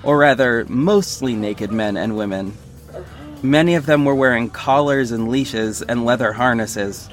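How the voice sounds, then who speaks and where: A man's voice: medium pitch, measured pace, lightly acted. A man playing a wry young superhero who narrates his own mission in scripted first person. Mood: measured, descriptive. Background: music bed.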